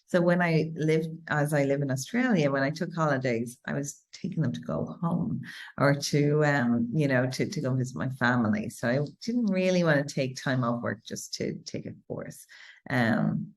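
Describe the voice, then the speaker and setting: A woman's voice: deep voice, moderate pace. Irish woman, interviewer, chatty, repeats words, video call. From Ireland.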